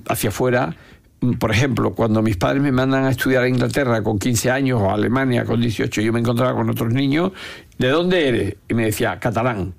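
An older man with an Andalusian accent, giving opinionated, anecdotal answers in an interview, often halting and repeating words. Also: mid-range voice, creaky. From Andalusia, Spain. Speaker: interview guest, veteran Andalusian politician. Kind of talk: unscripted reflective interview talk.

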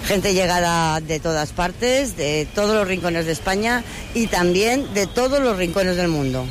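A woman from reporting live from a street parade, lively and bright. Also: fairly low pitch, unhurried, noisy background. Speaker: field reporter.

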